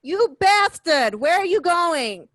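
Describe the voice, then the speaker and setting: An actress playing a needy, volatile mistress in a radio play, demanding and accusing. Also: female voice, extremely high pitch, very animated, takes it slowly, fairly bright tone, dry recording, studio quality, heavily acted. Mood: furious. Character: volatile, needy, jilted drug-addicted mistress.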